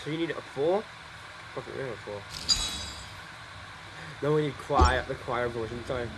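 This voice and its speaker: A young man giving rambling, excitable gameplay commentary at home, swearing freely as he plays. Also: mid-range voice, moderate pace, noisy background. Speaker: gaming streamer. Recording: voice over loud game audio. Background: loud game music.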